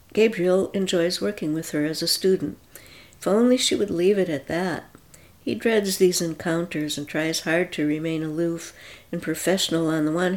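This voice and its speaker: Woman, narrator, audiobook reading, calm, slightly low pitch.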